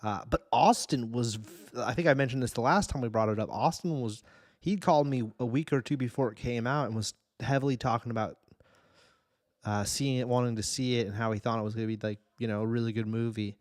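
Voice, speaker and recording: A man's voice: medium pitch, brisk, no room sound, clean studio sound. A man hosting a podcast, chatting casually and informally about film and TV. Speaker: podcast host.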